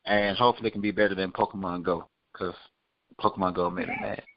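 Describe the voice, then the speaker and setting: A man with a fairly low voice hosts a casual podcast. His speech is informal and conversational. The recording is dry and somewhat dull, like lo-fi online audio. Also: fairly even intonation, fast talker, dry recording. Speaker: podcast host. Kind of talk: casual podcast chat and sign-off.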